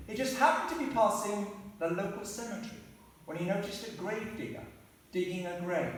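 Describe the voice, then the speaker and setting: A man playing a brisk, harried narrator and director trying to keep a shambolic show on track. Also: high voice, fairly bright tone. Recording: echoey hall, distant mic, faint hiss.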